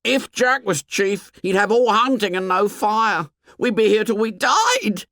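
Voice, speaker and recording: A man voicing a shrill, anxious, plump schoolboy with glasses in an audiobook, pleading and nagging in a squeaky voice. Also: big pitch swings, a little slow, pushed and bright, no echo, studio quality, heavily acted. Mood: fretful.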